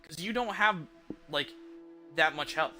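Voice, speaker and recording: A man's voice: a little high, a little slow, sharp tone. A man giving casual, profane, slangy gameplay commentary as a game streamer. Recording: game music behind the voice. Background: video game music and sound effects.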